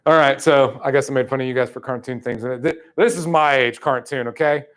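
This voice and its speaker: A man's voice: mid-range voice, brisk. Man, 30s, sales trainer, casual chatty delivery to a room. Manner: lively, casual, chatty, many fillers. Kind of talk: live training talk.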